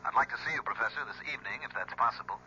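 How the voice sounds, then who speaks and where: A man's voice: on the low side, very fast, sharp tone, muffled recording, a little hiss or hum. An actor playing a curt, coolly insistent man heard through a telephone filter in an old-time radio drama, clipped and businesslike.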